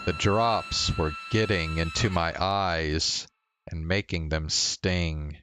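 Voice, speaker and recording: Man, low-pitched, slow delivery, dramatic first-person game narration, measured phrasing. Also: fairly even intonation, background noise. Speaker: streamer reading a visual novel aloud.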